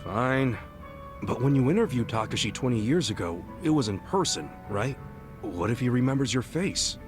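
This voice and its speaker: An actor voicing a street-smart, skeptical sidekick in a video game, casual, blunt and wry. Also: male voice, mid-range voice, lively intonation, normal speed, over music, close to an everyday voice. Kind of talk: video game cutscene dialogue.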